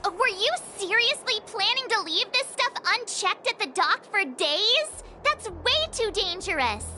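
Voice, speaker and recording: An actor voicing a bright, earnest young character in video game story dialogue, with animated, drawn-out delivery. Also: very high voice, heavily acted. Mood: alarmed scolding. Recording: music behind the voice.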